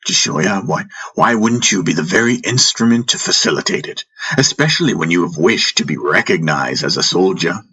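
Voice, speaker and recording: A man's voice: sits low, expressive, moderate pace, sharp tone, slightly rough, full character voice. A man voicing a wise, teasing and stern spiritual master in a fantasy audiobook, chiding in a theatrical way. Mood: stern, probing.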